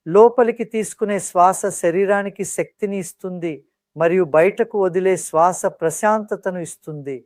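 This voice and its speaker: A meditation guide speaks calmly and soothingly at a mid-range pitch. The instructions come in measured phrases with pauses between them. The sound is close and dry, with very little room echo.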